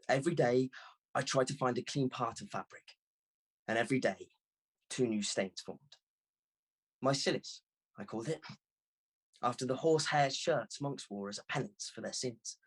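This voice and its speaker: A man narrates a first-person novel as an audiobook reader. His voice sits at a medium pitch and has a husky texture. The reading is scripted and expressive.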